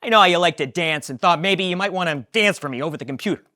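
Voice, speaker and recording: A woman's voice: fairly low pitch, quick pace, pushed and bright, dry recording, studio quality, voice pushed up. A woman voicing a smarmy, lonely boyfriend who pushes his luck. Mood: coaxing. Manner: smarmy, coaxing.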